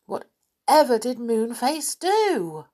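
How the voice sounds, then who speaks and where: A woman's voice: on the high side, sing-song, slow, dark tone, voice pushed up, heavily acted. A shocked, scolding young girl, as a woman storyteller puts her on, her voice raised a little. She exclaims with disapproval in a storybook reading.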